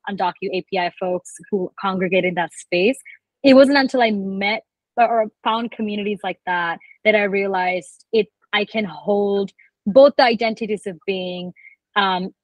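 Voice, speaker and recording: A woman's voice: mid-range voice, no room sound, rough recording. A young adult woman from Bangladesh, a guest telling her story in a thoughtful, earnest way. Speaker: guest, immigrant rights advocate. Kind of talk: casual interview.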